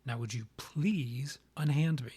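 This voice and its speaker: A man playing a proud, guarded vampire king in a romantic ASMR roleplay, with a breathy voice often near a whisper and a formal, courtly, halting manner. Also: mid-range voice, dark tone, slight background noise, close to an everyday voice. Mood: stiff, defensive.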